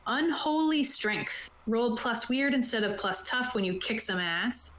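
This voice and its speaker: A woman's voice: fairly high pitch, animated, measured pace, a bit bright, roomy sound, low-quality recording. A woman prepping a tabletop game online, reading rules aloud and explaining them in a chatty, casual way. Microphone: own microphone on an online voice call.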